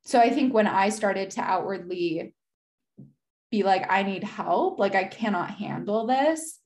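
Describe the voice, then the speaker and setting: A woman's voice: mid-range voice, expressive, a little slow, darkish tone. Reflective young woman, casual and candid. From United States. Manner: casual, frequent "like" fillers, reflective. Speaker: podcast guest. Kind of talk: candid conversational interview.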